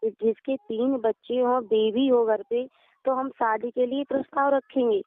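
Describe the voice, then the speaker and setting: A woman talks over a thin, dry telephone connection in a slightly high voice. She sounds aggrieved and pleading as she explains her side, staying deferential to the listener. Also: steady tone, measured pace, dry recording. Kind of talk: phone conversation giving her side. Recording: narrow tinny phone-call recording.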